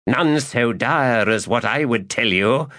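A male narrator voicing a scheming, lecherous mage who mutters his plots aloud, high and excitable, in an audiobook. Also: unhurried, lightly acted. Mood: gleeful. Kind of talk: audiobook character voice.

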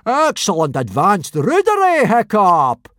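A huge, hairy Viking schoolmaster from a storybook. He roars and blusters his commands, the voice driven up high and swooping, in a dry recording. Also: huge pitch swings, measured pace, a bit bright, no echo, heavily acted. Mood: hearty approval. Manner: roaring, bellowing, commanding.

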